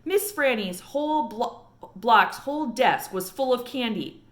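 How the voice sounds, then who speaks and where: A woman reads a children's story aloud with expressive, lively intonation. Her voice is fairly high and varies freely through the narration. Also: measured pace, echoey room. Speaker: read-aloud reader.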